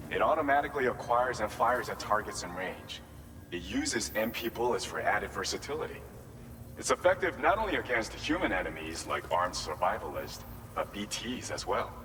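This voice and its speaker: Game cutscene role: male actor as earnest, plain-spoken former fighter, measured delivery, lowish voice, long reverb. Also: close to an everyday voice. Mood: informative. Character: earnest, humble male former boxing champ at a facility. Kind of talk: video game cutscene dialogue and briefing. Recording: reverberant hall, background vehicle noise.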